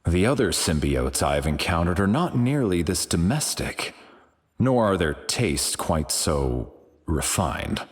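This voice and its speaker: Deep, husky, noble game hero. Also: male voice, big pitch swings, slow pace, no room sound, full character voice. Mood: solemn. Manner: formal, stately. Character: solemn, noble, otherworldly male hero, grave and courteous. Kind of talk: video game character voice lines.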